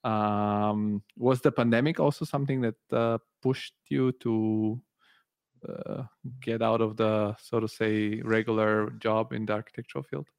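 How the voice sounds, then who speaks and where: A man's voice: lowish voice, fairly even intonation, a little slow, vocal fry, a little mellow, clean tone, no room sound, studio quality. A man born in Bulgaria and raised in Italy, hosting a podcast interview in a casual, rambling way, searching for words. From born in Bulgaria, grew up in Italy. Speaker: podcast host.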